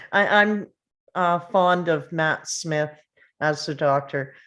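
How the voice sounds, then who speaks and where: A woman offering an opinion in casual conversation, hesitant and measured. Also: low-pitched, fairly bright tone. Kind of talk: casual group chat.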